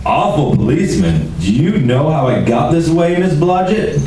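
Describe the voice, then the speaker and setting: A man's voice: on the high side, very animated, normal speed, warm tone. Guy doing a fed up grumbling radio cop, blustery and sarcastic, super echoey, noisy recording. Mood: exasperated, blustering. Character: exasperated, grumbling male police lieutenant. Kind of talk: amateur 1940s-style detective radio play acting. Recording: very echoey room, distant mic, clear noise.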